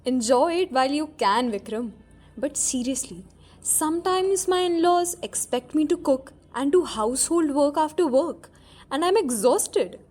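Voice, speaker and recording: Woman acting a high-voiced working wife. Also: measured pace, a little mellow, faint music behind. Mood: wry, exhausted. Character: friendly, overworked married woman office commuter. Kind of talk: amateur radio drama acting.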